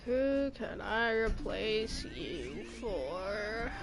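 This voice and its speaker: A woman's voice: fairly high pitch, lively intonation, very slow. A woman doing casual gameplay commentary, rambling and thinking aloud in a playful, exclaiming way. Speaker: game streamer. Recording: game music behind the voice. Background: video game music.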